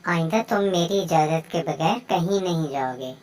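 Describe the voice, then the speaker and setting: Lady doing a cartoon mama bird, caring but strict, kinda low voice. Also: moderate pace, a little mellow, far from the mic, natural voice. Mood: scolding. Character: caring, strict mother bird scolding her child. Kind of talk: children's moral story cartoon dubbing.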